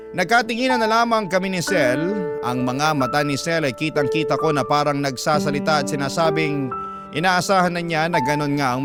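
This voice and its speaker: A man reading a listener's story as a radio drama host, in measured, sentimental first-person scripted narration. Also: fairly high pitch. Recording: loud music bed behind the voice.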